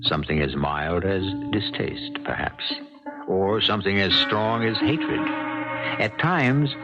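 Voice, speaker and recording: A man hosting a 1970s radio mystery show, narrating in a measured, portentous, scripted style. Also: lowish voice, wildly swooping pitch. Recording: dull vintage radio recording with music.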